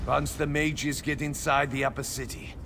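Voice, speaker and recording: A man's voice: mid-range voice, fairly even intonation, normal speed, background noise, natural voice. A man playing an urgent, embattled fighter rallying defenders in a fantasy video game. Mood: alarmed. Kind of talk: video game character acting.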